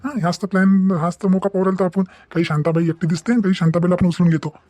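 A man's voice: high voice, little pitch movement, fast talker, a little mellow, no echo, natural voice. A man voicing a scheming, bumbling village kidnapper in a comedy cartoon, bossy and repetitive, with a Varhadi Marathi accent.

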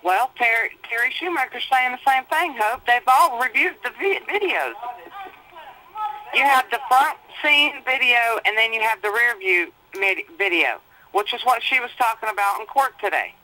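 A woman's voice: fairly high pitch, moderate pace, bright, forceful tone, a little hiss or hum. Patient mother on a phone line. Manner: patient and reassuring, calls her daughter baby. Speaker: mother of the inmate. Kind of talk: phone call with her jailed daughter. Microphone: telephone.

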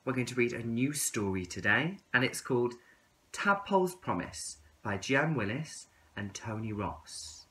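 A man in his thirties reading a storybook aloud to young children in an expressive, deliberate way. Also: medium pitch.

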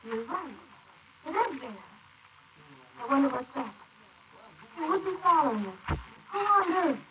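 An actress playing a brazen, impatient, scheming bride in a 1940s radio drama style on an old recording. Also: female voice, high-pitched, big pitch swings, a little slow. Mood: tense, defensive. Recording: noisy muffled 1948 wire recording of transcription discs.

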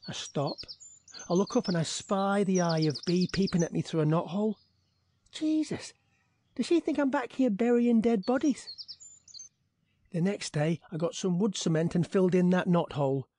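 Sardonic young man played by an actor, slightly high voice, griping, exasperated, audio drama monologue. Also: moderate pace, mellow, a little hiss or hum, close to an everyday voice. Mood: irritated, sardonic. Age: young adult. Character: exasperated young bachelor, sarcastic neighbour.

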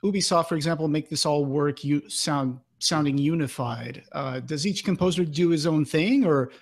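Man, slightly high pitch, thirties, casual co-host, close-miked, home office. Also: normal speed, vocal fry. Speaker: podcast co-host, interviewer. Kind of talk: casual interview questions. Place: home office, indoors, on a video call. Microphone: close desk microphone with pop filter.

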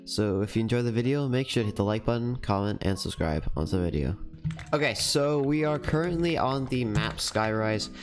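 A man's voice: mid-range voice, animated. A teenage boy with a stuffy nose from a cold, chatting casually and cheerfully while commentating his gameplay at a desk microphone. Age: teens. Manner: chatty, upbeat, quick laughs. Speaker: gaming YouTuber. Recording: music playing under the voice. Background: background music and keyboard typing.

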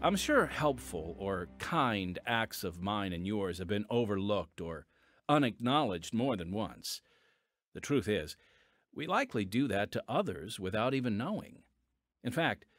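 A man's voice: lowish voice, no echo, clean studio sound. A man hosting a podcast, giving a scripted introduction and speaking directly to listeners. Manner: addresses listeners directly as friends. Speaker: podcast host and announcer. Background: music.

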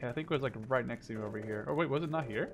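A man in his twenties from the Netherlands, hosting a gameplay video in casual chat with a friend, excitable and teasing, swearing often. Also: mid-range voice, racing. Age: 20s. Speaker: gaming video host. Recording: game sounds loud behind voice. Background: game noise, gunfire.

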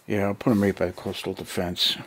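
The fairly low voice of a middle-aged American guy you would hear puttering around his basement workshop, muttering to himself and chatting to the viewers of his hobby vlog. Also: flat delivery, fairly quick, a little hiss or hum. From United States. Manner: unscripted, thinking aloud in short muttered bursts. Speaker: hobby vlogger. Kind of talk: casual chat to camera. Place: cluttered basement hobby room. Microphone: handheld camera near arm's length.

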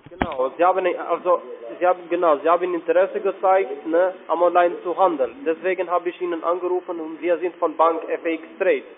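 A man with a fairly high voice and a foreign accent talks over a tinny phone line. He works through a call center sales pitch haltingly, often repeating himself. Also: steady tone. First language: not German. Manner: hesitant, repetitive. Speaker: call center investment agent.

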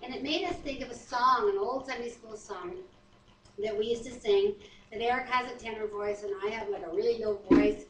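A middle-aged woman, chatty and quick to laugh, co-hosting a home Bible reading and praying. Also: on the high side, steady tone, fairly bright tone, slight background noise. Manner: chatty, laughs easily, self-deprecating. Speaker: co-host leading prayer and Bible reading. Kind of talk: casual chat, prayer and scripture reading. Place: wood-paneled home dining room, indoors. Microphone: far camera. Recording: roomy, distant home video.

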